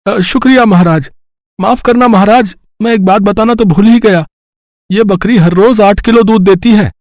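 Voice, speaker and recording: A man's voice: sits high, moderate pace, darkish tone, dry recording, clean studio sound. A man playing a humble village goat seller, deferential and eager to please. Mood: obliging. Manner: deferential, hesitant openings.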